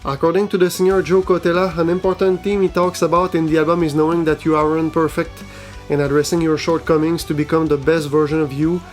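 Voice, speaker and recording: A man reads a scripted news roundup of new metal albums in a steady, level manner. His voice sits a bit high for a man and barely moves in pitch. Faint guitar music plays underneath. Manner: even, measured reading. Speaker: host of a metal news show.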